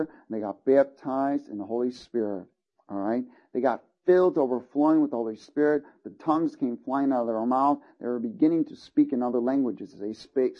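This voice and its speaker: A man's voice: mid-range voice, dull audio. A man preaching a sermon, reading scripture aloud and checking in with listeners as he teaches. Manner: earnest, calls out "Amen?" and "Hallelujah" to listeners.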